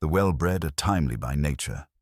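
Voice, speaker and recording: A man voices a high-society woman in a mystery audiobook. She is haughty and dismissive, with snobbish disdain in a very deep voice. The sound is dry. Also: lively intonation, normal speed, dry recording.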